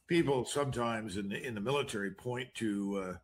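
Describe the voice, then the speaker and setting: A man's voice: mid-range voice, lively intonation, unhurried, fairly bright tone. An American man talking conversationally as a political commentator in an interview.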